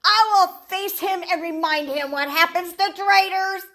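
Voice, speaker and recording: A woman's voice: extremely high pitch, a little slow, sharp tone, exaggerated voice. A woman playing a proud, scornful queen in an audio drama, speaking haughtily. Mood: defiant.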